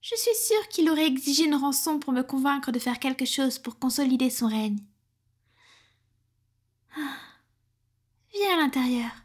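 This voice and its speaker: A woman playing a powerful, protective female vampire in an ASMR roleplay, speaking in a soft, breathy, formal and self-assured way. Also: high-pitched, expressive, measured pace, long pauses. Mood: calm, confiding. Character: powerful protective female vampire, commanding yet tender lover.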